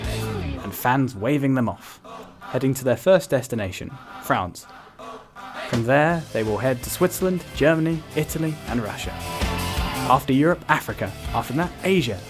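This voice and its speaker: A man reading scripted narration for a story, as its narrator. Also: medium pitch.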